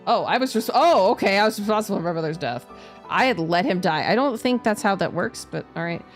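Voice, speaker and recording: A woman's voice: mid-range voice, animated, quick pace. A woman streaming a game, reading story text aloud and dropping in casual, sweary asides. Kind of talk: let's play, reading visual novel text aloud with casual commentary. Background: background music.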